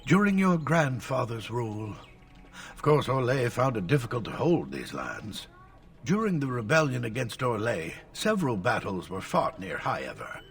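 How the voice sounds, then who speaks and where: An actor playing an elderly scholarly tutor in a video game, lecturing formally and at length, stern and easily exasperated. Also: male voice, mid-range voice, big pitch swings, moderate pace, vocal fry, distant mic.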